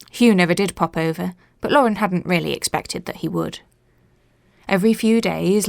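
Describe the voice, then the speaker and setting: A woman's voice: lowish voice, vocal fry, no room sound, studio quality. A woman narrating a literary ghost story as a scripted reading.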